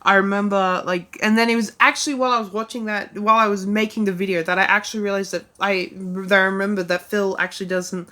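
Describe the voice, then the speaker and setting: A woman vlogging in a loose, rambling way, her sentences full of "like" and "um." She looks back on her own channel with a self-deprecating edge. Her pitch sits in an ordinary middle range. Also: fairly even intonation, fast, hardly any pauses, sharp tone. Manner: rambling, heavy use of "like" and "um". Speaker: YouTuber reviewing her own videos. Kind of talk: casual rambling vlog.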